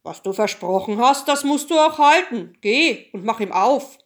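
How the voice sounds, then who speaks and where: A woman's voice: fairly high pitch, lively intonation, moderate pace, lightly acted. Storybook king, voiced by a woman: authoritative father, stern, commanding delivery. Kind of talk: fairy tale storytelling.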